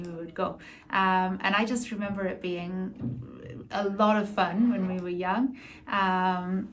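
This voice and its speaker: A woman from north-east England, reminiscing casually in an interview. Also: medium pitch.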